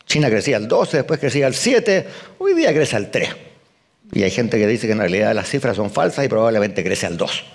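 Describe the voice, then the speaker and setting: A man's voice: fairly low pitch, very animated, fairly quick. An older man giving a conference keynote as an economist, emphatic, firing rhetorical questions and answering them at once. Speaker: economist speaker. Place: event hall.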